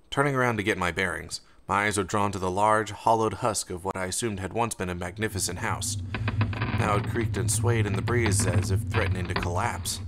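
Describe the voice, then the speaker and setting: A man reading first-person fiction as an audiobook narrator, in a measured, dramatic way. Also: deep voice, fairly bright tone.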